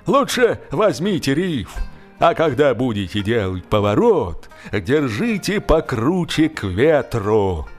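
A man voicing a brisk boatman in a comic audiobook, calling out emphatically. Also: mid-range voice, sing-song, faint music behind. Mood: hearty, advising. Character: brisk male boatman giving sailing advice.

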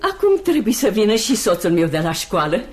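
A woman plays a genteel middle-aged landlady in a radio drama. She is effusive and sociable, and her medium-pitched voice swoops extremely widely as she chats. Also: very animated, over music, lightly acted. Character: chatty, hospitable married landlady, genteel and theatre-loving. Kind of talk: Romanian radio theatre acting.

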